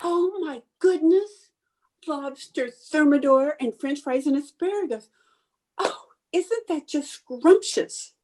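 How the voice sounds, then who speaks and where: Woman playing an excitable, swooping housewife, high voice. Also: a little slow, a little hiss or hum. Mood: gushing. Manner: theatrical, gushing, breezy. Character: excitable, scheming 1950s housewife. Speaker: amateur radio player. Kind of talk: old-time radio sitcom acting.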